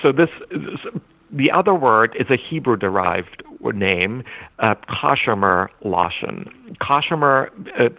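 A man whose first language is German, a literature scholar giving thoughtful, halting interview answers with frequent restarts. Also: mid-range voice, animated, phone-quality sound. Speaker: literature scholar and anthology editor.

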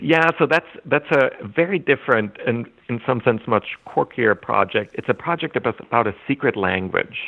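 A man whose first language is German, a literature scholar giving thoughtful, halting interview answers with frequent restarts. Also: medium pitch, lively intonation, creaky, phone-quality sound. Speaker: literature scholar and anthology editor.

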